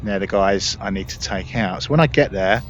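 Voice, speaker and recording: A man's voice: on the low side, brisk. A man giving casual, explanatory commentary over his video game play. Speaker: gaming video creator. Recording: dull audio, faint game noise. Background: spaceship game ambience.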